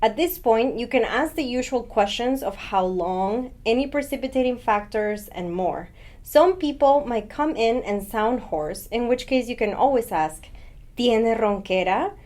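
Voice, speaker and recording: A woman's voice: on the high side, expressive, measured pace, darkish tone. A woman doctor presenting a short scripted vocabulary segment in a friendly, instructive way.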